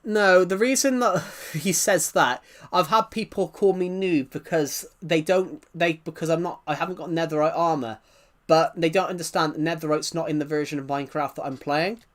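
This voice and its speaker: Male livestream host, slightly high-pitched, casual gamer chat, rambling and stuttering as he reads out comments. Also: normal speed, few pauses.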